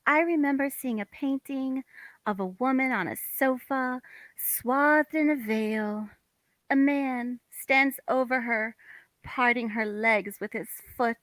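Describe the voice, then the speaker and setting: A woman's voice: sits high, slow, a bit bright, dry recording, rough recording. A woman playing a dreamy, romantic young wife with an earnest manner in a table read of a play. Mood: dreamy, yearning.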